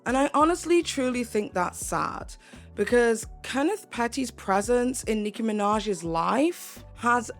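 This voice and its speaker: Hoarse young woman giving commentary. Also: fairly high pitch. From United Kingdom. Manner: earnest, emphatic, repeats phrases for stress. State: hoarse, voice going. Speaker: commentary YouTuber. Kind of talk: opinion commentary vlog.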